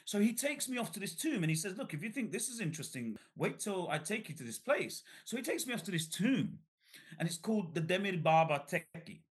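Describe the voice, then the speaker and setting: A middle-aged man, born in Bangladesh, talks as an interview guest. His voice sits a little higher than most men's and rises and falls with lively energy. He tells a long story, tripping over his words and restarting often. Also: fairly quick. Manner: animated storyteller with frequent restarts and repeated words. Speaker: author, guest.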